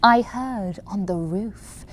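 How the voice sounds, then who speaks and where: A woman reciting a rhyming bedtime story in an expressive, pantomime style. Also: mid-range voice, slow pace. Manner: expressive, dramatic. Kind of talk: theatrical pantomime bedtime-story reading of verse.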